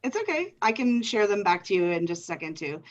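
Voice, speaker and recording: Woman co-host reading questions, calm, mid voice, muffled audio. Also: mid-range voice, fast talker.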